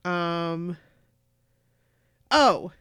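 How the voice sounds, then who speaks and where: A woman losing her voice, chatting casually on a podcast about a film, filler-heavy and animated. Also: lowish voice, very slow, clean tone, dry recording, studio quality. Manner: chatty, says "like" often, animated quotes. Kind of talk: casual movie discussion.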